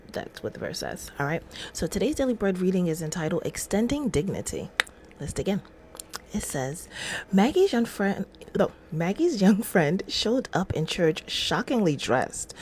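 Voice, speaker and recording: A woman's voice: on the low side, darkish tone, slight background noise. A middle-aged woman hosting a casual devotional livestream, reading aloud and adding informal, chatty asides. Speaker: livestream host. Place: small indoor room at home.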